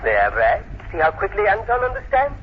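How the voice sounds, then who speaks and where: A man's voice: sits high, wildly swooping pitch, moderate pace. A man acting an arrogant, sinister professor in an old radio drama, imperious and sardonic with mock courtesy. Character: arrogant, sinister crippled philosophy professor, male. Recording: dull, very noisy old radio recording.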